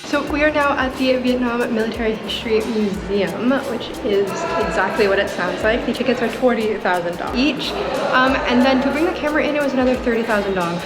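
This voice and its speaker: Woman, somewhat high pitch, casual chatty travel narration, prominent music. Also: brisk, over a noisy crowd. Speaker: travel vlogger. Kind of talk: casual vlog narration to camera.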